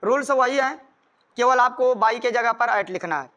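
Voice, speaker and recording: A man's voice: extremely high pitch, normal speed, pushed and bright. A man with an Indian accent giving a grammar lesson as a teacher, explaining rules step by step and repeating them.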